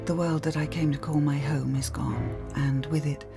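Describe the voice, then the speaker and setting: An actress playing a weary, enlightened woman facing death in an audio drama, solemn and breathy. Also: low-pitched, fairly even intonation, normal speed. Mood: solemn, intense. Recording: music under the voice. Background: dramatic trailer music.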